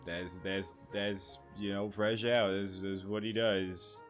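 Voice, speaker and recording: A man's voice: low voice, little pitch movement, takes it slowly. A man giving casual, rambling gameplay commentary as a streamer, repeating words and swearing casually. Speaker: gaming streamer. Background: game music.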